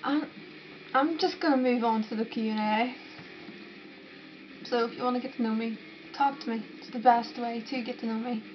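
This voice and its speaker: A woman's voice: on the high side, steady tone, normal speed, pauses often. A young woman from Northern Ireland with a Northern Irish accent, chatting casually to camera and answering viewer questions. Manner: chatty, rambling, with fillers and restarts. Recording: dull lo-fi sound with noticeable background noise.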